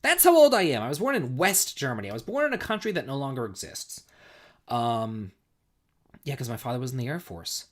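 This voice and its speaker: A man's voice: medium pitch, very animated, a little fast. A man in his forties hosting a casual livestream, a bit tipsy, rambling and repeating himself while telling stories and reading chat. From United States. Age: 40s. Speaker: livestream host.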